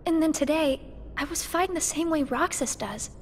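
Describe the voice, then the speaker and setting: An actress playing a gentle, loyal teenage girl in a video game, sincere and a little hesitant. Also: sits high, moderate pace, a little hiss or hum, natural voice. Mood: uneasy. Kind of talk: video game cutscene acting.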